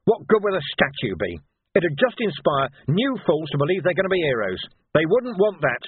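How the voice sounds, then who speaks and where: Casting: male narrator as blunt, hot-tempered fantasy police commander for audiobook. Gruff, sarcastic, highly animated pitch. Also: moderate pace, no echo, narrow, tinny audio. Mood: angry.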